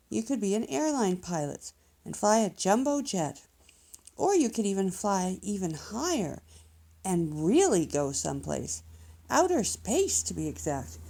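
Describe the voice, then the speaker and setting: Storybook professor bear, male, played by a woman: chatty teaching guide, encouraging, lively melody, slightly creaky. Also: medium pitch. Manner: encouraging, explanatory, emphatic. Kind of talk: children's storybook character voice.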